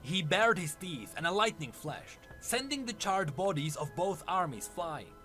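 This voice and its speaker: A man's voice: high voice, very animated, unhurried, sharp tone, soft background music. A man narrating a scripted story in a solemn, theatrical way. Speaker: lore narrator.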